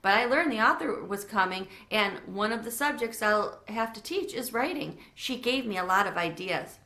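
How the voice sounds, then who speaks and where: A woman's voice: medium pitch, lively intonation, moderate pace, pushed and bright, reverberant. A woman voicing a friendly, earnest male janitor who is training to teach, in a read-aloud story.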